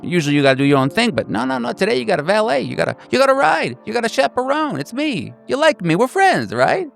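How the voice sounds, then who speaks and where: A man voicing a smarmy, deeply sarcastic government agent who talks in a mocking, fake-chummy, insistent way for a tabletop horror game. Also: sing-song, fast, vocal fry, a bit bright, soft background music, heavily acted.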